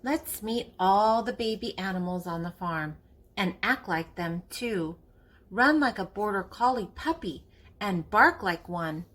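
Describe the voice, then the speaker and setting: An older woman reading a picture book aloud to young children in a playful, animated way as the host. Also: medium pitch, a little slow. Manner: playful, acting out animal sounds.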